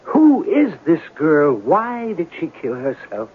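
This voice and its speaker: High-pitched, brooding, temperamental writer. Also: male voice, huge pitch swings, slow pace, slight background noise, full character voice. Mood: frustrated despair. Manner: theatrical, insistent, brooding. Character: intense, temperamental, self-tormenting male writer. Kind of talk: old-time radio drama acting. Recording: muffled vintage radio broadcast.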